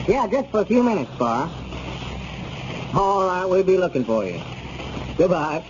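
An actor playing a level-headed, plain-spoken friend in a 1930s radio comedy. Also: male voice, high voice, big pitch swings, a little slow. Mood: on phone. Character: Dick Huddleston, level-headed friendly rural man. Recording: muffled 1930s radio recording with clear hiss.

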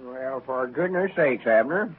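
An actor playing an exasperated older country storekeeper with a rural Southern US accent and folksy, scolding manner, in 1930s radio comedy style. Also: male voice, medium pitch, lively intonation, measured pace, slight background noise, natural voice. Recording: muffled, narrow-band 1930s radio recording.

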